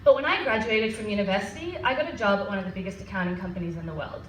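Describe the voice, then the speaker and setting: A woman in her thirties with an Australian accent, telling personal stories to an audience in an animated way. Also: mid-range voice, rapid-fire. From Melbourne, Australia. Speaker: guest speaker. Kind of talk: personal storytelling talk to an audience. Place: event hall with an audience. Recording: echoey hall, distant mic, faint noise.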